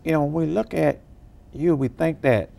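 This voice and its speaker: A man's voice: fairly high pitch, normal speed, gravelly, mellow, slight background noise. A man hosting an interview, asking conversational questions and nodding along with short replies. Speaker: talk show host.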